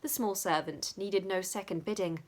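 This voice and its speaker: A woman's voice: mid-range voice, normal speed. A woman reading a story as dramatic scripted narration. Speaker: audiobook reader.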